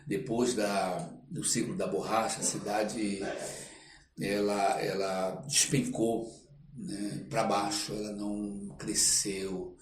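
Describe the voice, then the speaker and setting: An older man speaks slowly in a lowish voice. He reminisces in a halting way, searching for words and adding little check-in tags. The sound is echoey and set back from the mic. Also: level tone. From Belém, Pará, Brazil. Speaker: podcast guest, theatre artist. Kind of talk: reminiscing in a casual interview. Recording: roomy echo, off-mic, faint background noise.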